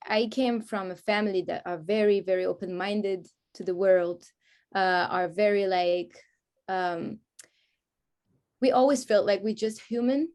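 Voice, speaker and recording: A woman talks casually in an interview, with Hebrew as her language. Her voice sits at a medium pitch. She often pauses to find the right word as she explains herself. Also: darkish tone. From France (grew up in Paris), born in Israel. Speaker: guest, music artist.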